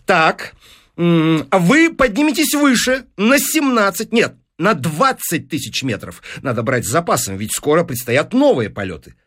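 A man's voice: sits high, sing-song, normal speed, a bit bright, pitched above the performer's own voice. A man voicing a pushy, calculating newspaper businessman in an audiobook, with eager salesman's patter. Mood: eager, persuasive. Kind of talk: audiobook character dialogue.

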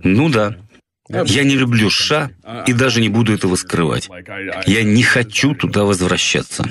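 A man's voice: lowish voice, normal speed, crowd noise, lightly acted. A man voicing an outspoken American expatriate blogger in an emphatic, conversational interview voice-over. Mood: firm, defiant.

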